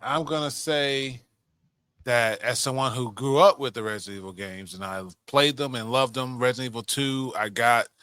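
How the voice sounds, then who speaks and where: Bright-voiced man chatting casually. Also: medium pitch, normal speed, clear, resonant tone, no room sound, studio quality. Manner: opinionated, swears freely. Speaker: podcast co-host. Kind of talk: casual film discussion.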